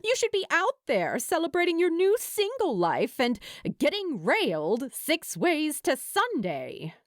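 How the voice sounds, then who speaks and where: A woman voicing a blunt, teasing female best friend in an audiobook, with crude, exasperated, drawn-out emphasis. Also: high-pitched, wildly swooping pitch, dry recording, clean studio sound, voice pushed up, heavily acted.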